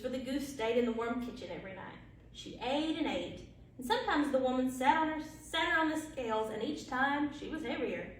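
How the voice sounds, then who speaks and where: A woman reads a children's bedtime story in a high, lively voice that moves up and down expressively. She sounds some way from the microphone in a very echoey room, telling it as a storyteller would. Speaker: library storyteller. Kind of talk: bedtime story read aloud. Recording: very echoey, distant, faint background noise.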